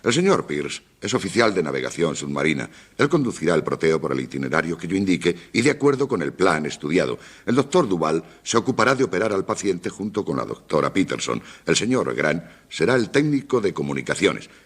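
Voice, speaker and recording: An actor in a radio drama playing an authoritative, methodical doctor with a gravelly, husky voice and a formal, measured way of explaining. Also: male voice, sits low, very animated. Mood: assured. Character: authoritative male doctor briefing a surgical expedition, calm, methodical.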